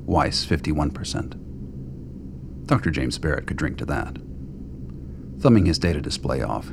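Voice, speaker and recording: A man with a very deep, gravelly voice narrates a scripted radio drama. His storytelling is measured, dry and literary, with faint noise behind it.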